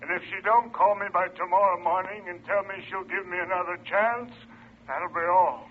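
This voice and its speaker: A man's voice: high-pitched, moderate pace, husky. An actor playing a threatening jilted man making a phone call in a 1940s radio drama. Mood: menacing. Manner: pushy, menacing, tough-guy slang. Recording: old lo-fi radio recording.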